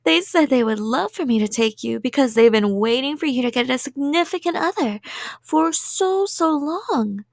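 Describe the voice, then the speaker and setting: A woman's voice: sits high, lively intonation, moderate pace, creaky, dry recording, studio quality, full character voice. A woman playing a cheerful, delusional, obsessive kidnapper in a rambling ASMR roleplay, sweet and playful and drifting into whispers. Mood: cheerful, unrepentant.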